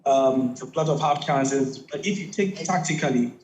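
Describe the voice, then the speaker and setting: A man's voice: medium pitch, normal speed, darkish tone, roomy sound, rough recording. A man from Ghana giving conversational football analysis as a studio pundit, with frequent restarts.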